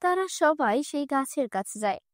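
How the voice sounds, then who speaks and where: A woman narrating a children's cartoon story in a scripted, even storytelling manner. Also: sits high, moderate pace, dry recording, clean studio sound.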